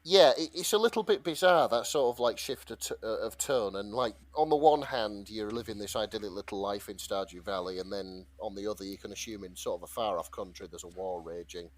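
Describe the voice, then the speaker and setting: A man's voice: lowish voice, brisk, hardly any pauses. A British man co-hosting a podcast, chatting casually and retelling fanfiction in a hesitant, rambling way. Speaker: podcast co-host.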